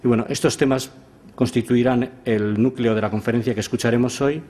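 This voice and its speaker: A man moderating an event who formally introduces the guest speaker, pausing often on filler sounds. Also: medium pitch, steady tone, darkish tone. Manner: formal, with frequent filler hesitations.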